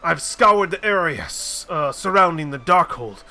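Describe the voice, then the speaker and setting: A man voicing a steady, earnest middle-aged male leader in a measured reading. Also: on the high side, soft background music, exaggerated voice.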